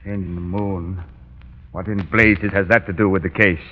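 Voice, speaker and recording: An actor playing a gruff, impatient judge in a 1930s radio drama. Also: male voice, low voice, steady tone, moderate pace. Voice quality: gruff, brusque. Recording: muffled 1930s radio recording.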